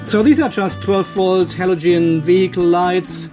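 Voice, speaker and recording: A man's voice: high-pitched, steady tone, measured pace, darkish tone, soft background music. A middle-aged man giving a casual hands-on demonstration, chatty and informal, thinking aloud as he works. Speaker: host of a DIY off-grid battery channel. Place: garage workshop.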